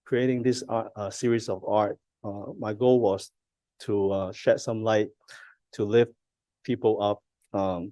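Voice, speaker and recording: A man's voice: lowish voice, darkish tone, no room sound. A man from Hong Kong gives a personal acceptance speech on stage, hesitant, self-deprecating and choked with emotion. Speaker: honored artist giving an acceptance speech. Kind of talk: halting, personal thank-you speech. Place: ceremony stage.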